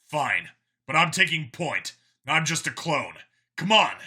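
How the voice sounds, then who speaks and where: A man's voice: on the high side, very animated, unhurried, slightly rough, full character voice. A man voicing a determined, commanding young male soldier in a science fiction audiobook. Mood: urgent, defiant. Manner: forceful, commanding. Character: Vincent, determined young male soldier clone, gruff and urgent.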